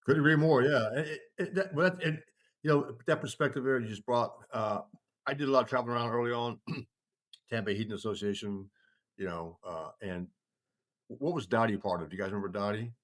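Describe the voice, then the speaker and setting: A man's voice: mid-range voice, fairly quick. A man chatting casually as a podcast panelist, telling things in a loose, rambling way.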